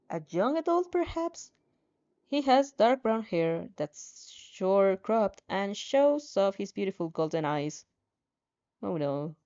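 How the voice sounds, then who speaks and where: Mellow woman storyteller, slightly muffled recording. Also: medium pitch. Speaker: fan fiction reader reacting as she reads. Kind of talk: expressive storytelling narration with casual asides. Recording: dull, slightly muffled lo-fi audio.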